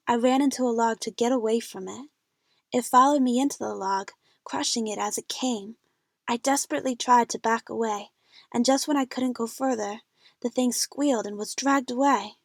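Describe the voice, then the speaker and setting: A woman's voice: fairly high pitch, vocal fry, no room sound, clean studio sound. A woman playing a frightened young female adventure fan, narrating her own jungle ordeal in a scripted audio drama.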